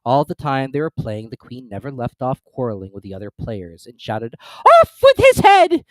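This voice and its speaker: A storyteller giving a measured, expressive dramatic reading of a classic tale. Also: mid-range voice, no room sound, poor audio. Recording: dry close recording with soft music.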